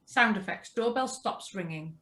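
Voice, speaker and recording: A woman reads out script cues for a radio play. Her pitch is medium and the delivery is clipped, announcing each direction. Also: expressive, moderate pace, pushed and bright. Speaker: reader of stage directions and sound cues.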